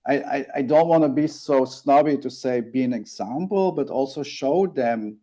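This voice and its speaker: Belgian man, forties, native Dutch speaker, interview guest; earnest, halting, warm and mellow tone. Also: mid-range voice. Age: 40s. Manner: earnest, halting with frequent restarts. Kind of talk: interview, personal story.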